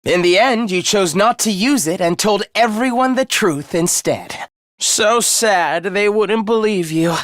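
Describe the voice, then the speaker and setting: A man's voice: sits high, measured pace, no room sound, studio quality. A man voicing a young male student in an anime game, sweetly idealistic yet secretly sinister, with a light, polite, theatrical delivery. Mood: cold, gloating.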